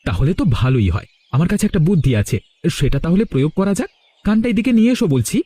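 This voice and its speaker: Man playing a fast-talking, sly young flatterer. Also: high voice. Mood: self-pitying, sly. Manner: ingratiating, wheedling. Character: sly, flattering young brother-in-law, eager schemer. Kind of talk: animated cartoon story dialogue.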